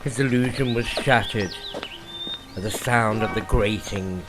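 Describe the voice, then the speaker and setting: A man narrating a dramatic horror story in a theatrical way, with deliberate pauses. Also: lowish voice. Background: music.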